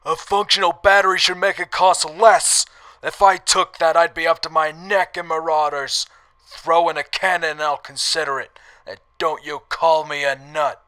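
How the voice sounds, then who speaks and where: A man's voice: on the high side, fairly even intonation, normal speed, pushed and bright. A man playing a quarrelsome, indignant customer haggling in a blustering way in a radio drama.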